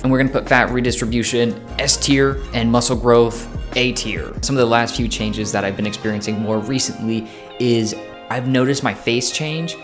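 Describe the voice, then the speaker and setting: A man in his twenties talking casually to the camera, chatty and playful, with plenty of fillers and self-corrections. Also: lowish voice. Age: 20s. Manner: playful, jokey. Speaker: vlogger.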